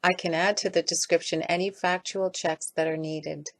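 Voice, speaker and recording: A woman's voice: fairly low pitch, fairly even intonation, moderate pace. A woman reading a scripted critical commentary as a video essay narrator. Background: music.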